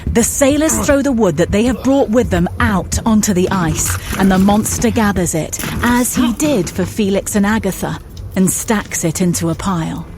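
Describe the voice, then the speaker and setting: A woman's voice: medium pitch, moderate pace, music in the background. A woman giving scripted narration for an audio drama, telling the action in the present tense.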